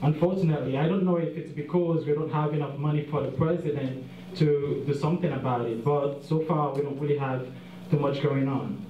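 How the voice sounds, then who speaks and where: A man's voice: fairly high pitch, steady tone, brisk. A young man from Haiti, giving an earnest, plain-spoken slide presentation as a guest speaker at a dinner. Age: young adult. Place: large dinner hall. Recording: roomy, distant, dull sound, faint hiss.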